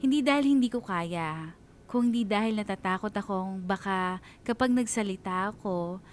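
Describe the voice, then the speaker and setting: A woman radio DJ reads a dramatic first-person story in a Filipino accent. Her mid-pitched delivery is reflective and sentimental, with pauses, over faint background music. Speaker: radio DJ reading a listener's story.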